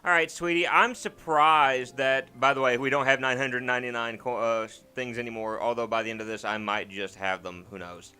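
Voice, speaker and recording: Male gaming host, very bright and clear, casual joking rambler. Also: medium pitch, steady tone, normal speed, few pauses. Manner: rambling, joking, repeats words. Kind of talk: casual let's play commentary.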